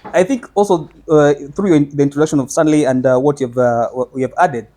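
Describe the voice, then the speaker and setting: A man's voice: on the high side, fairly even intonation, a little fast, dark tone. A man in a casual podcast panel discussion, talking with many fillers, repeats and restarts as he introduces the topic.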